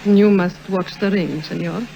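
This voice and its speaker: Coy, low-voiced señorita. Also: female voice, fairly even intonation, normal speed, gravelly, warm tone, slight background noise, poor audio. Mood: coy, teasing. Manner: coy, formal phrasing. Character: flirtatious, self-assured Spanish señorita who adores admiration. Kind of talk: 1950s radio acting.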